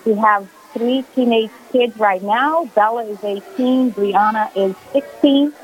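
A woman's voice: a little high, a little slow, lo-fi sound, soft background music. Woman, forties, from Brazil, radio guest, earnest, filler-heavy. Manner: earnest, warm storytelling with frequent fillers. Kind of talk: casual radio interview. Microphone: phone call.

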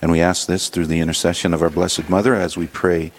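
A man hosting a religious radio show, leading prayer and introducing guests in a halting way with many fillers. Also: low-pitched, flat delivery.

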